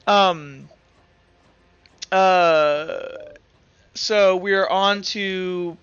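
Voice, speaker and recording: A man's voice: high-pitched, drawn-out words, a bit bright, clear, resonant tone, muffled recording. A man casually refereeing a tabletop game, explaining rules and giving players instructions. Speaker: game master.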